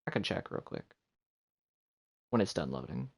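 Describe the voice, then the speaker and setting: A man's voice: sits low, big pitch swings, normal speed, creaky, husky. A man giving casual live commentary while streaming a video game. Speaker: game livestreamer. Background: video game music.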